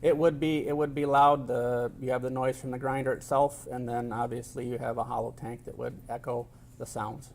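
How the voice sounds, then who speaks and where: A middle-aged man giving expert testimony in court, answering in a measured, technical way with frequent hesitations and a formal, polite manner. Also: medium pitch, little pitch movement, gravelly. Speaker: expert witness.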